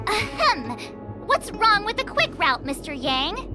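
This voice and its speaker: Cocky girl detective for a game, high voice, super animated, music behind her. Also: slow, exaggerated voice. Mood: defensive, playful. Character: excitable girl detective, theatrical, cocky and playful. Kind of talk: animated video game voice acting.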